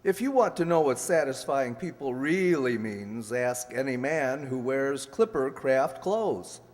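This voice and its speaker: A man playing a 1940s radio sponsor announcer, delivering an emphatic, measured sales pitch. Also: lively intonation, close to an everyday voice. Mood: emphatic, selling. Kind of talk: 1940s radio commercial reading. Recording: echoey stage hall.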